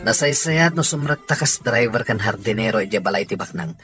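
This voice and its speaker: A man acting a scheming crook planning a robbery in an audio drama, speaking with a husky, rough edge. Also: medium pitch, expressive, brisk, a bit bright, faint music behind, lightly acted. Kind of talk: acted dialogue in an audio drama.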